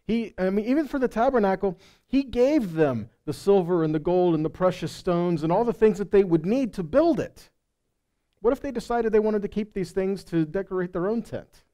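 A man's voice: high voice, animated, moderate pace, dark tone. A middle-aged man giving a conversational sermon as a preacher, reading scripture and explaining it with rhetorical questions and check-in words like okay and right. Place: indoor stage of a meeting room. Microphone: headset.

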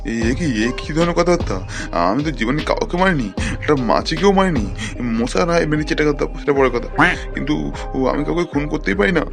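A man's voice: a little high, lively intonation, fast talker, gravelly. A man voicing a grumbling, greedy and cowardly working man in a cartoon. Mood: frightened, pleading. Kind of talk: cartoon voice acting. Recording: music behind the voice.

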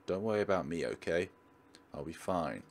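A man voicing a guarded, self-effacing high school girl who narrates a visual novel in an earnest, measured way. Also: lively intonation, slight background noise. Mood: wary, resigned.

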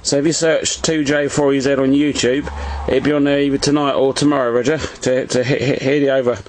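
A man's voice: mid-range voice, flat delivery, fairly quick. Englishman on CB, faint background noise. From England. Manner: uses radio jargon, often repeats "Roger". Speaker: amateur CB radio operator filming his contacts. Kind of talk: casual radio contact chat. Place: indoors at a home radio set. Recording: faintly noisy phone video beside radio.

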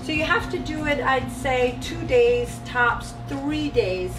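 A woman's voice: high voice, lively intonation, slow pace. A woman in her forties, a restaurant chef, chattily teaching a home recipe step by step in a restaurant kitchen, recorded on a camera mic some distance away. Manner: chatty and instructive, walking through steps. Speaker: restaurant chef and cooking host. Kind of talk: casual cooking demonstration. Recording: echoey kitchen, voice from off-mic, music behind.

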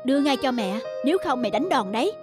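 A woman's voice: sits high, animated, fast. Give me a firm cartoon cat mother. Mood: stern. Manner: motherly, scolding and soothing. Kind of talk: children's cartoon dubbing. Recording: studio voice over background music.

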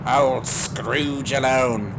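Man as a measured husband over loud noise. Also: medium pitch, steady tone, creaky. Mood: musing. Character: gossiping husband, measured and pitying. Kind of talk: audio drama acting. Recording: loud ambient background noise.

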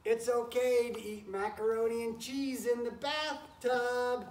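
A man's voice: very high voice, fairly even intonation, measured pace. Man reading to children in an echoey barn. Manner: chatty, encouraging, often interrupting himself with asides to animals. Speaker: teacher reading a picture book aloud. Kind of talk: casual read-aloud to children, with asides. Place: wooden barn pen with straw, among goats and chickens. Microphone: camera a few feet away. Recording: roomy, noisy barn, distant camera mic.

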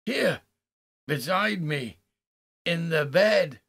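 A man's voice: a little high, expressive, very slow, creaky, a bit bright, pitched above the performer's own voice, exaggerated voice. A man reading a horror story as a terrified, shivering man who pleads urgently and repeats himself. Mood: terrified, shrieking.

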